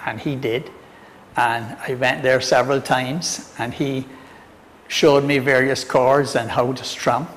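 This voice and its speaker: An older man from Barbados with a Barbadian accent, telling his own story in a relaxed, friendly way. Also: medium pitch, slow, in a large hall, a little hiss or hum. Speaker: tenor guitarist. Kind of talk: personal storytelling to camera.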